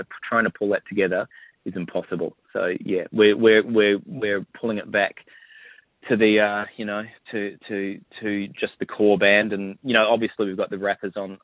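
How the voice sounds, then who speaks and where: A man in his forties from New Zealand, a bandleader talking haltingly with many restarts in an interview. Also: fairly low pitch, flat delivery, no echo. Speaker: bandleader and guitarist. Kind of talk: interview over the phone. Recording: dry tinny phone line.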